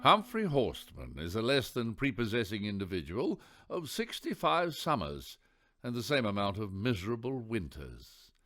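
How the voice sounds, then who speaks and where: Theatrical male narrator with hugely animated delivery. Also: on the low side. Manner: theatrical, wry, deliberate emphasis. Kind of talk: dramatic scripted radio play narration.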